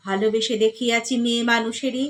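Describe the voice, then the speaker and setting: A woman reciting poetry expressively, in measured phrases that fall softly at the line endings. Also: fairly high pitch, little pitch movement, slight background noise.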